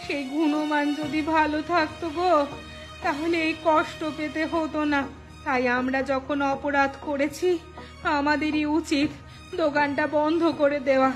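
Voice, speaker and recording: A woman's voice: high voice, level tone, a little slow, faint music behind. A woman voicing an ailing, remorseful village shopkeeper's wife in a moral cartoon, speaking in a plaintive, pleading way. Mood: plaintive, suffering. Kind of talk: Bengali moral cartoon acting.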